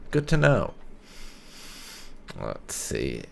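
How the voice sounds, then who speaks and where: Deep, slow, gravelly man commentating a game. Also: wildly swooping pitch. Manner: unhurried, musing aloud while playing. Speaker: gaming video host. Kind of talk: casual game playthrough commentary.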